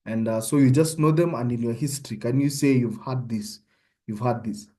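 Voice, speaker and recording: A man's voice: mid-range voice, moderate pace, warm tone. A young Kenyan man with a Kenyan accent, giving an informal online medical tutorial and often checking in with his listeners. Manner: conversational, often checks in with "yeah?".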